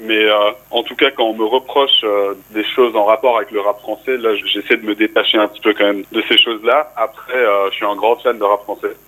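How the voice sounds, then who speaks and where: A man's voice: lowish voice, a little fast, few pauses, rough recording. A young man from France, a rapper guest in a radio interview, talking casually with fillers. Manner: relaxed, casual, speaks with fillers.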